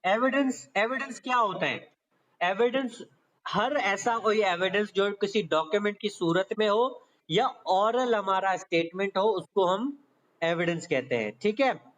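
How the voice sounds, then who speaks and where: A man's voice: high voice, lively intonation, measured pace, a bit bright, low-quality recording. A man lecturing online on law, explaining step by step didactically and mixing English terms into his speech. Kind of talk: online exam-prep lecture, explaining and reading out clauses. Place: online meeting class.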